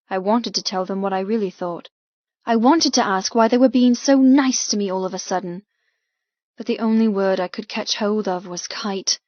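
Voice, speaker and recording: A woman reading first-person fiction as an audiobook narrator, with dramatic, measured storytelling. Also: mid-range voice, no echo, dull audio.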